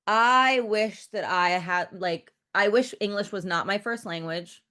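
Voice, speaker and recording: A woman's voice: medium pitch, measured pace, sharp tone. A woman in her thirties, a director guest in a casual interview, talking in a rambling, loose way, full of "like" and restarts, and swearing frankly.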